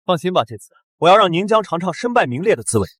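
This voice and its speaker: A man's voice: high-pitched, very animated, quick pace, fairly bright tone, husky, dry recording, clean studio sound, full character voice. A man playing a greedy, brash, spiteful young schemer in a short drama. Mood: smug.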